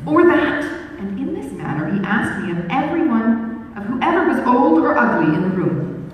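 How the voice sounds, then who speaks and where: A woman reading a period novel aloud at a book launch, in an expressive, measured storytelling manner. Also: a little high, dull audio. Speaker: author reading her book. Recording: echoey hall, distant mic, faint room noise.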